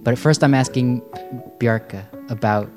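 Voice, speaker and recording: A man's voice: medium pitch, measured pace, a little mellow, soft background music. A man from the United States hosting a casual podcast, friendly and chatty, saying "like" a lot. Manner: friendly, chatty, uses "like" often. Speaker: host.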